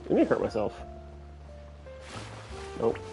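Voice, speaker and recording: A man's voice: takes it slowly, warm tone, soft background music. A man giving casual, informal commentary as he livestreams a video game, thinking aloud as he plays.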